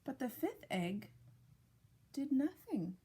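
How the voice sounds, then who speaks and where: A woman reading a picture book aloud to young children, with deliberate, expressive phrasing and casual asides. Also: high voice, husky. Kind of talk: read-aloud storytime narration with casual asides.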